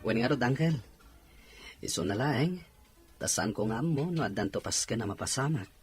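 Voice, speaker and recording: An actor playing a respectful young nephew in a radio drama, soft-spoken and deferential. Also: male voice, mid-range voice, expressive, normal speed, husky, soft background music. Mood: polite, hopeful. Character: respectful young nephew, shyly eager about his birthday party.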